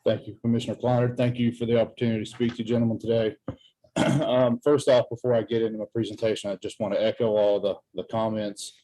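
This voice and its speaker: A man's voice: lowish voice, flat delivery, quick pace, warm tone, rough recording. A man presenting for a government wildlife agency in a formal, earnest spoken update.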